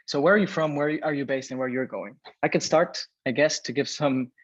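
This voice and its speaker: A man's voice: medium pitch, brisk, no echo. A man from Venezuela in his thirties, hosting a live webinar over a video call, chatty and full of fillers as he reads out chat messages. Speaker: webinar host. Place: home or office room in Berlin. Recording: dull, dry video call audio.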